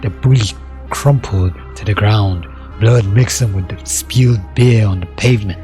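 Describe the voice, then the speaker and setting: A man's voice: lowish voice, normal speed, mellow, faint music behind. A man narrating a scripted dramatic story as its storyteller.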